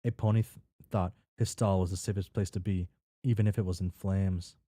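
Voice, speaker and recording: A man's voice: low-pitched, steady tone, fairly quick, dark tone, no room sound, clean studio sound. A man casually reading a story aloud with off-the-cuff commentary, tripping over and repeating words and swearing freely. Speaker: reader reacting to a fan fiction.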